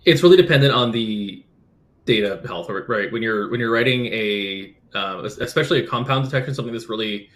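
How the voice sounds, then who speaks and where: A man with a lowish voice works through a technical answer over a video call. He thinks aloud, with ums and false starts. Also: fairly quick, fairly bright tone. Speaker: panel guest. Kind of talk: technical explanation.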